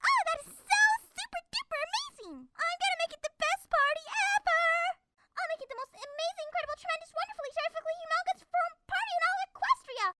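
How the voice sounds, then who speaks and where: Hyperactive, squeaky-high cartoon party pony. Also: female voice, expressive, fast, heavy background noise. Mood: ecstatic.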